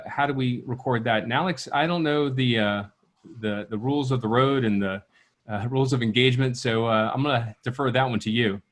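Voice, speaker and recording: A man moderating questions on a video call, talking off the cuff in a hesitant, halting way. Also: mid-range voice, fairly bright tone. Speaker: meeting moderator relaying questions. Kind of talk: unscripted question-and-answer talk.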